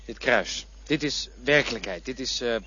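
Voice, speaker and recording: A man's voice: mid-range voice, animated, moderate pace, natural voice. Actor doing a skeptical man near death, earnest and stammering in argument, muffled lo-fi sound with faint hiss. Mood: protesting. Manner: protesting, earnest, stammering at times. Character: doubting, stubborn man facing death. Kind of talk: radio play acting. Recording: dull lo-fi radio recording with faint noise.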